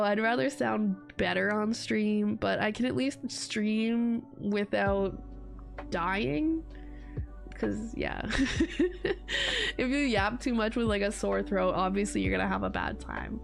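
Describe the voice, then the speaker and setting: A woman's voice: mid-range voice, creaky. Stuffy young streamer girl chatting, rambly, laughs a lot, bit of music behind. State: stuffy, congested from a cold. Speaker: VTuber streamer. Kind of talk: casual stream chat.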